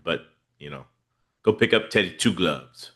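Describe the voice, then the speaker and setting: Man, very low voice, bright edge, congested, rambling podcast host. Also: expressive, a little slow. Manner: laid-back, rambling with many fillers. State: congested from a head cold. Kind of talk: casual fantasy football chat.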